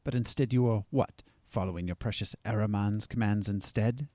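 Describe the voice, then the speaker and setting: An actor playing a sardonic asylum doctor in an audio drama, probing and needling in a confrontational way. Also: male voice, lowish voice, steady tone, moderate pace, dark tone, dry recording, lightly acted.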